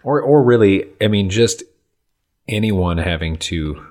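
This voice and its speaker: Deep-voiced man with wry asides. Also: expressive, a little slow, darkish tone. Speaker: podcast co-host. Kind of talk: casual chat.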